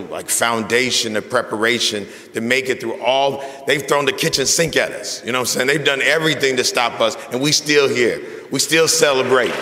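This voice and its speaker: A raspy-voiced man in his fifties giving a rousing speech to an audience. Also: medium pitch, bright, forceful tone, long reverb tail. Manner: rousing, call-and-response energy. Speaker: convocation speaker.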